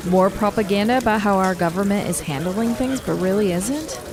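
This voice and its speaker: A woman's voice: medium pitch, fairly quick, a crowd in the background, lightly acted. A woman playing a tough, guarded commander of a survivor militia in a radio drama, speaking tersely and with command. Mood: weary, wry. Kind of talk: post-apocalyptic radio drama acting.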